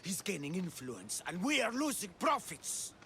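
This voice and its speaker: A man's voice: high-pitched, wildly swooping pitch, moderate pace, gravelly, fairly bright tone, slight background noise, heavily acted. A man playing a disgruntled, scheming islander in a video game, with an indignant, sardonic delivery. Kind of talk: video game cutscene acting.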